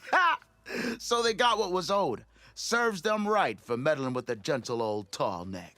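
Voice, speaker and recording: A man's voice: a little high, animated, a little slow, pushed and bright, no room sound. An actor playing a blustering, self-important expedition leader in a video game, with a theatrical, wheedling salesman's patter. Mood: gloating. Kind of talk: video game cutscene dialogue.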